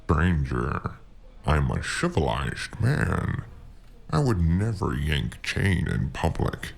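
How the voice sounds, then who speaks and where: An actor playing a genteel, eccentric gentleman spy in a noir audio drama, teasing and pompous, with theatrical, flowery phrasing. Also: extremely low pitch, huge pitch swings, takes it slowly, gravelly, slightly rough, exaggerated voice. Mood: coyly evasive. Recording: guitar music behind the voice. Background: plucked guitar music.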